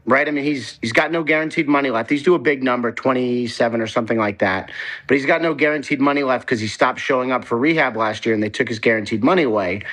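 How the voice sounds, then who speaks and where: A man's voice: medium pitch, a bit bright, rough recording. A man speaking as a football insider in a casual interview, very colloquial and acting out imagined exchanges. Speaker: NFL insider, show guest. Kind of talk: casual sports talk interview.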